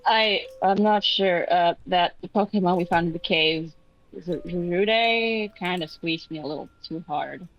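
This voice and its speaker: Slightly muffled mic, girl roleplaying casually, lowish voice, awkward stop and start talk. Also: measured pace. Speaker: player in a tabletop game.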